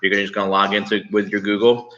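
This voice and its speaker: A low, forward-sounding male voice on a slightly dull recording. He speaks as a grade school teacher, talking pupils through things in a conversational, instructive way. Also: level tone, racing, a bit bright. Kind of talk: informal online read-aloud and assignment talk to pupils.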